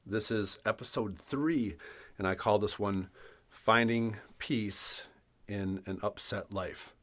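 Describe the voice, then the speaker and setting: A middle-aged man with a somewhat low voice hosts a podcast and talks through spiritual ideas in an earnest, reflective way, often restarting his sentences. He sounds stuffy from a cold. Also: takes it slowly, fairly bright tone. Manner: earnest, thoughtful, with frequent restarts. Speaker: podcast host.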